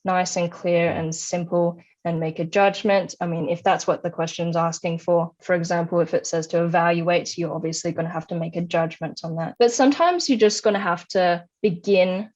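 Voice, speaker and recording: Low-voiced young woman, slightly muffled recording. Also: fairly even intonation, normal speed, hardly any pauses, a little mellow. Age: young adult. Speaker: interviewee, high-achieving former student. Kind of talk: conversational study advice.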